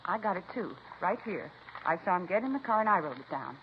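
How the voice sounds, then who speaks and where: Actress as chatty woman cashier, dismissive and folksy, rapid delivery, 1950s radio drama with dull sound and light hiss. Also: lowish voice, animated, natural voice. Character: chatty, indignant woman grocery cashier recounting a holdup. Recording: muffled, hissy 1950s radio recording.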